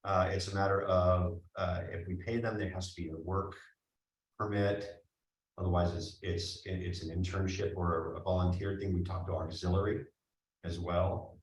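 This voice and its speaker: A man's voice: sits low, steady tone, moderate pace. A man speaking earnestly at a board meeting, reassuring the board with many restarts. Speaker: health care district administrator. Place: meeting room. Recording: echoey room from far off.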